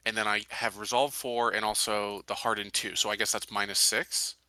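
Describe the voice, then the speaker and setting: Male tabletop player, piercingly bright tone, thinks out loud and queries things, dry close sound. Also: on the low side, flat delivery, moderate pace, no echo, poor audio. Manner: questioning, thinking aloud. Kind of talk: casual game-table chat.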